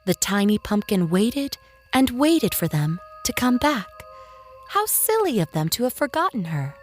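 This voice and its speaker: Female storyteller for preschool fiction: unhurried, animated intonation, gentle narration over background music. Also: on the high side. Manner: expressive, gentle, measured storytelling for young children. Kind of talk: scripted children's story narration.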